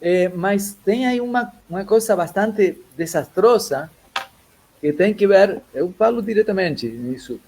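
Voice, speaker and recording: A man's voice: high-pitched, lively intonation, unhurried, background noise. A man, a composer speaking as a guest in a casual conversation, talking animatedly and switching back and forth between Portuguese and Spanish.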